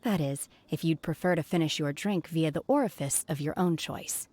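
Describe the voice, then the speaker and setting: An actress playing an aloof young woman in a game, calm and blunt, with a dry, cutting way of talking. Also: sits low, normal speed, background noise, natural voice. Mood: icy. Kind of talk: game voice acting.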